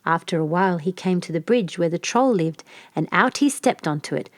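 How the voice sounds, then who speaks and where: A woman reading a scripted children's fairy tale as an expressive storyteller. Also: fairly low pitch, fairly quick, no echo, clean studio sound.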